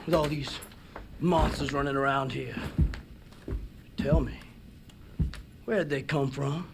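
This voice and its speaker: Gravelly, hot-tempered old Southern uncle. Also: male voice, mid-range voice, steady tone, takes it slowly, background noise. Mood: furious. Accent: American South. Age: older. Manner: folksy, confrontational drawl. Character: gruff, hot-tempered Southern backwoods uncle defending family. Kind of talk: video game cutscene acting. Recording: music behind the dialogue.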